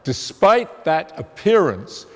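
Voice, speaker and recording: A man giving a public science lecture, talking in an emphatic, conversational way with a fairly loud voice, away from the podium. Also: fairly high pitch, very animated, takes it slowly. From immigrant to the United States. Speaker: guest lecturer, theoretical physicist. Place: museum lecture hall, walking away from the podium. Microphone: room mic, speaking without a handheld mic. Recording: long reverb of a lecture hall.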